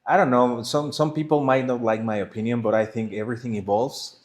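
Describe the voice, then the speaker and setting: Man, mid-pitched, earnest, with restarts. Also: steady tone, a little fast, a little mellow. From Mexico. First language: Spanish. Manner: earnest, restarts phrases while searching for English words. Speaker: real estate agent, host. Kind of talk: casual conversation.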